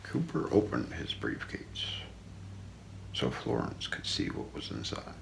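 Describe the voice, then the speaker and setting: A middle-aged man narrates true crime for ASMR in a very low voice full of heavy creak. He speaks slowly and deliberately, hushed and often close to a whisper, recorded close up in a small room. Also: huge pitch swings, low-quality recording. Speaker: ASMR role-play narrator. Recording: faint background noise.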